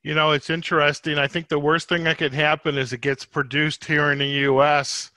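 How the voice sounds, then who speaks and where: Podcast host, male, slightly high-pitched and bright, dry close sound, halting conversational delivery. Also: little pitch movement, no echo. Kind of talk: conversational interview hosting.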